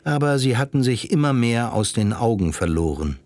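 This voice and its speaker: A man's voice: medium pitch, very animated, normal speed, a little mellow, no echo. Dry, close male drama narrator. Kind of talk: scripted audio drama narration.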